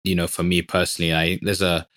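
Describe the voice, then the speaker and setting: Fast-talking deep-voiced man, founder. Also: little pitch movement, fairly bright tone, no echo, studio quality. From Tanzania. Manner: fast, filler-heavy, enthusiastic. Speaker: startup founder. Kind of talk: podcast interview.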